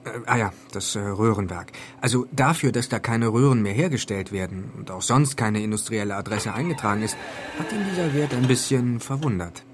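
Actor as a wry young student sidekick. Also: male voice, fairly low pitch, fairly quick, a little hiss or hum, close to an everyday voice. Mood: puzzled, casual. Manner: colloquial, sarcastic, hesitant. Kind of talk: naturalistic German radio drama dialogue. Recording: music behind the voice.

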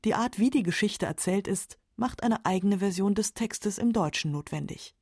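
A woman's voice: medium pitch, expressive, moderate pace, darkish tone, slightly rough, no room sound, clean studio sound. A woman with pronunciation reading scripted narration for a literary radio documentary.

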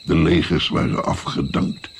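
A man's voice: unhurried, slight background noise, lightly acted. An actor playing an older musician and physician, recalling his naive youth in a reflective, hesitant, wry way in a radio play.

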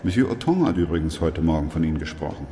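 Very deep-voiced, sober doctor. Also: male voice, lively intonation, fast, warm tone, slight background noise. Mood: quiet, resigned. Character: weary, sober male doctor who narrates a plague chronicle. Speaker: actor playing the narrator and doctor. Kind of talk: German radio play acting and narration.

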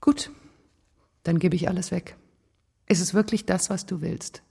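Radio drama: low, gravelly older female executive, icy, controlling, clipped and unyielding. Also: fairly even intonation, unhurried, warm tone, exaggerated voice. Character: cold, controlling older businesswoman, bargaining and unyielding. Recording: music behind the voice. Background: music.

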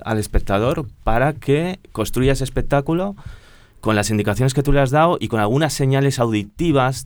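A male radio host interviewing guests in a relaxed way with short questions. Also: mid-range voice, smooth, no echo.

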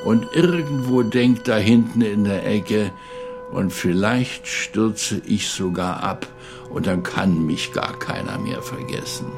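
A man's voice: lowish voice, slow pace. A man commenting in a radio feature, telling a life story dramatically in the present tense. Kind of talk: spoken commentary telling a biography. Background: music.

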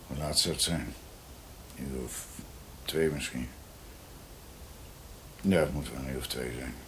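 An agitated older doctor in a radio drama. His voice is very low and slow, halting as he mutters to himself. The recording has clear background noise. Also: bright, forceful tone, full character voice. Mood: muttering, unhurried.

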